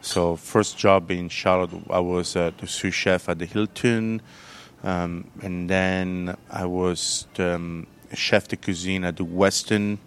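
A man from southern Italy with an Italian accent, a chef telling his story in an interview, halting and unhurried. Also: low-pitched, steady tone, slight background noise. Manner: halting, unhurried, many fillers and restarts. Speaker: chef and restaurant owner, guest. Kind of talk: relaxed storytelling in a radio interview.

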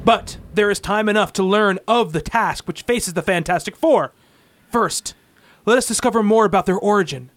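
A man's voice: high-pitched, moderate pace, slight background noise. A man narrating a comic-book radio play in a grandiose, theatrical style.

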